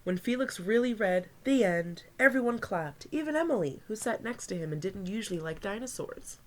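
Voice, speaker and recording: A woman reading a picture book aloud as a teacher to young children, pausing for asides to her listeners. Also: mid-range voice, lively intonation.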